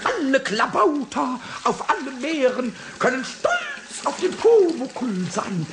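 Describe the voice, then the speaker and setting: A man playing a small, cheeky, childlike kobold in a radio play, with a squeaky cackling voice, stammered repetitions. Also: wildly swooping pitch, slow pace, heavily acted. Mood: busy, gleeful. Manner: stammering repetitions, childish exclamations, sing-song rhymes. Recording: noisy old radio recording.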